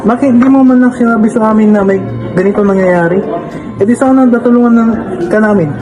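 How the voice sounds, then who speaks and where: A man's voice: sits high, fast, music in the background, close to an everyday voice, rough recording. A man playing a protective, reassuring schoolteacher in an amateur radio drama, speaking earnestly and formally. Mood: concerned.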